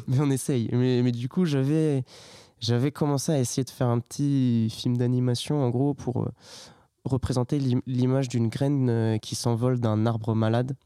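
A young man speaks softly and haltingly, as if thinking aloud. His voice sits at an ordinary pitch, and he talks with a relaxed, reflective air as a guest in a casual interview. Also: clean tone, dry recording, clean studio sound. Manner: soft-spoken, halting and reflective. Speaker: hip hop artist guest. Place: artists' workshop.

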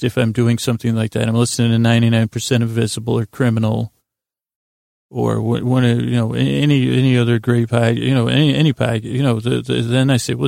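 A man with a creaky, gravelly voice recorded dry and close to the ear. He rambles through an improvised bedtime podcast, stopping and restarting his sentences, goofy and self-deprecating. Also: medium pitch, a little mellow, no room sound. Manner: meandering, halting, self-interrupting. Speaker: podcast host.

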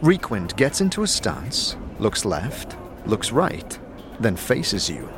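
A man's voice: on the low side, wildly swooping pitch, slow, noisy background. A man reading scripted second-person narration for a fantasy audio drama.

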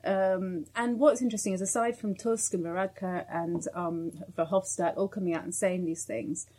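A woman's voice: fairly low pitch, steady tone, moderate pace, dark tone. A woman born in Ireland with a faint Irish accent, co-hosting a podcast and wryly explaining the news. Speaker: podcast co-host. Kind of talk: casual news chat.

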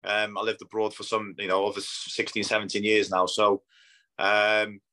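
A man in his thirties with a fairly deep, forceful voice and little melodic swing. His accent blends Polish with Scandinavian and northwest English, Polish being his first language. He talks as a footballer in a relaxed interview, plainly and conversationally. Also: normal speed, slight background noise. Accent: Polish mixed with Scandinavian and northwest English. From Poland. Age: 30s. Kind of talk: casual interview chat.

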